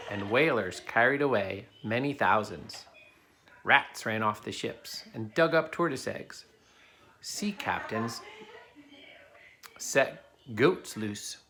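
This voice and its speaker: A man's voice: medium pitch, pushed and bright, slight background noise. A man reading a children's picture book aloud as a father would to his child, in a plain storytelling way.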